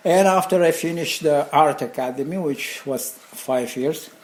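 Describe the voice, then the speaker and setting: An older man from Bulgaria with a Bulgarian accent, telling stories casually in a halting, rambling but animated way. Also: a little high. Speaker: interview guest, craftsman and designer. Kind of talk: casual conversational storytelling.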